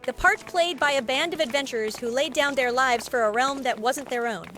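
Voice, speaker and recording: An actress playing a brisk, plain-spoken innkeeper with a British accent and a frank, chatty manner in a video game. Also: female voice, high voice, fairly bright tone, faint music behind. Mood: somber. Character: brisk, plain-spoken woman innkeeper running an adventurers' guild. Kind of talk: scripted video game cutscene acting.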